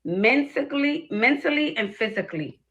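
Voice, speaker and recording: Bright-voiced woman giving blunt advice. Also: high voice, lively intonation, normal speed, lo-fi sound. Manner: direct, firm but affectionate. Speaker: relationship advice livestream host. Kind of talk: livestream advice session.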